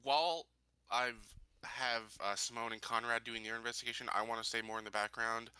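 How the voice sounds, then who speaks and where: A man playing a tabletop roleplaying game, talking casually in halting, piecemeal phrases. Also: medium pitch, fairly even intonation, sharp tone, clear, resonant tone, dry recording, rough recording.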